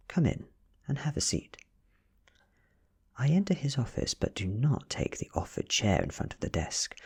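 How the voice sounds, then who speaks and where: A man with a British accent reading a story in a hushed, deliberate ASMR style, mostly whispered, close to the microphone. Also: lowish voice, gravelly, husky. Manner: hushed, deliberate, lingering between short phrases. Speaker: audiobook reader.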